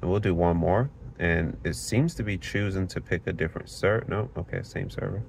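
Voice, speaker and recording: A man's voice: low voice, normal speed, creaky, slight background noise. A man sitting in a parked car, recording with a handheld phone, casually talking through network speed tests and reading results off the screen. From Florida, United States. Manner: plain and explanatory, reads numbers off the screen. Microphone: handheld phone camera.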